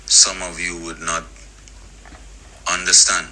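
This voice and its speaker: A man with a low, sharply forceful voice delivers a religious lesson in English as a native Hebrew speaker. He moves very slowly and deliberately, pausing between short phrases. A faint layer of background noise sits behind him. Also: level tone, rough recording. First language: Hebrew, as the speaker states. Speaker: religious teacher. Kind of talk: slow scripture teaching addressed to listeners.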